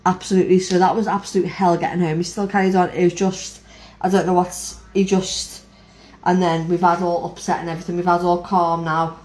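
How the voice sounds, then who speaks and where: A young woman and mother, casually rambling through her day to camera, frank and lively. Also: lowish voice, brisk. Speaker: vlogger, mother. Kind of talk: casual chatty recap to camera. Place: home. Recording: echoey room.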